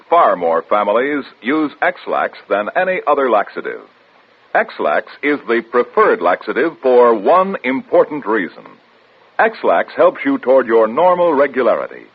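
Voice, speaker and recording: Male announcer, vintage radio commercial, emphatic, animated intonation, phone-like old recording. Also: medium pitch, a little slow, slightly rough. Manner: emphatic sales delivery. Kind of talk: vintage radio advertisement, scripted.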